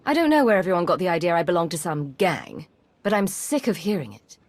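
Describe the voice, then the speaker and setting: Cool, low-voiced agent. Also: female voice, sing-song, a little fast, no echo. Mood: firm, businesslike. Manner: cool, firm, threatening. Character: stern, commanding female undercover agent. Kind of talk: video game character acting.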